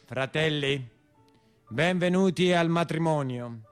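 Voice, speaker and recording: Pompous southern italian priest, greedy, preaching in rhyme, fairly high for a man, theatre on radio. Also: smooth, soft background music. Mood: solemn, preachy. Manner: solemn, declamatory, drawn-out. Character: pompous village priest, rhyming solemnly, greedy and threatening. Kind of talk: theatrical monologue in a radio play.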